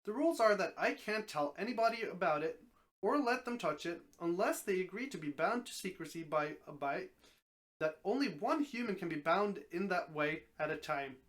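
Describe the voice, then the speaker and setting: A man's voice: high voice, expressive, normal speed, fairly bright tone. A man voicing an old, easygoing talking snake in a dramatic story reading, folksy and matter-of-fact. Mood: explaining, easygoing.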